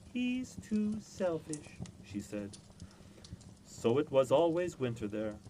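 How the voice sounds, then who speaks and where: A man reading a classic children's story aloud as an expressive scripted narration. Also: medium pitch, slight background noise, low-quality recording. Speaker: storyteller, reader.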